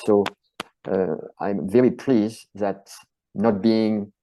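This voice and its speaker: French-accented middle-aged guy, low mellow voice, talks with passion, piles up lists. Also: fairly even intonation, takes it slowly, slight background noise, low-quality recording. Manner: passionate, repeats phrases in lists. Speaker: chiropractor and author, guest. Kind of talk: earnest interview answers.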